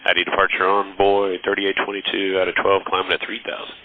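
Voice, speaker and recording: A thin radio signal with faint noise carries a man's low voice. He is an airline pilot reading back instructions in a casual way. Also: monotone, quick pace, phone-quality sound. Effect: radio transmission.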